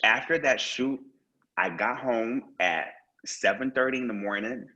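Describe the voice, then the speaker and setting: A man's voice: medium pitch, measured pace, sharp tone. A man speaks as a podcast guest about teaching the arts. His voice sits in the middle range. He talks earnestly and leans on "you know," and the recording sounds dull and lo-fi. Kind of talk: casual conversation.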